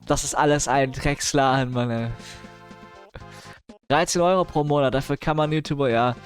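A man's voice: mid-range voice, moderate pace, soft background music. A man chatting casually as a live streamer, mixing in English gamer slang and grumbling asides. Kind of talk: casual livestream chat, reacting to news and viewers.

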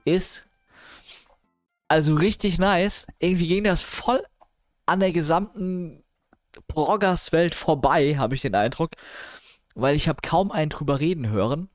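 Guy streaming, kinda high voice, slangy grumbly chat w english gamer words, music playing behind. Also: unhurried, pauses often, no room sound, studio quality. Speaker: live streamer. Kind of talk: casual livestream chat, reacting to news and viewers.

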